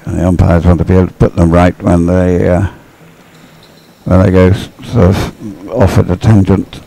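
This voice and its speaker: A man giving conversational live sports commentary as an expert analyst, explaining haltingly with repeated words. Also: deep voice, little pitch movement, vocal fry, warm tone, poor audio.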